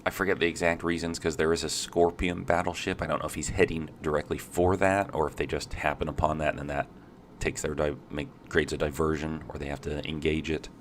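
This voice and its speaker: A congested man with a deep voice, co-hosting a podcast in casual chat and recapping a game's story in a laid-back way. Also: little pitch movement, a little fast, hardly any pauses, slight background noise. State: congested, coming down with a cold. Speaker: podcast co-host.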